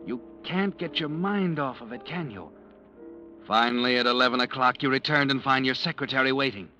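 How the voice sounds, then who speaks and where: Guy doing a creepy old-radio narrator, bright-toned, talks right at you, dull muffled recording. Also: medium pitch, fairly quick, faint music behind, close to an everyday voice. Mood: brooding. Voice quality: brooding, insinuating. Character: ominous second-person narrator, male. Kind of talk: 1940s radio drama narration.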